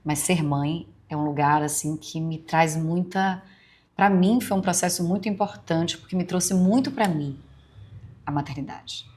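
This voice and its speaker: A woman in her forties with a Bahian Brazilian accent softened by years in Rio, hosting a podcast in a rambling, confiding personal monologue full of asides. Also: on the low side, measured pace. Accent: Brazilian Portuguese, Bahian softened by years in Rio. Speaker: podcast host.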